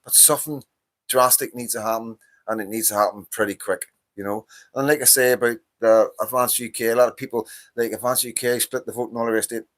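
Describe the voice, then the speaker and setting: A man's voice: mid-range voice, steady tone, quick pace, fairly bright tone. Ranting Northern Irish man in his fifties. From Northern Ireland. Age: 50s. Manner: impassioned, ranting, profane, repeats himself. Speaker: livestream host. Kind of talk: casual political monologue.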